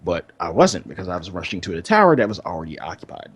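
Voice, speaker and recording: A man's voice: low voice, big pitch swings, fast, husky, no echo. A man chatting casually as the co-host of a gaming podcast.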